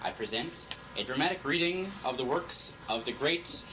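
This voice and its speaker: A man's voice: mid-range voice, animated, normal speed, music in the background. A man giving a theatrical, declamatory dramatic reading of poetry. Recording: clearly noisy recording.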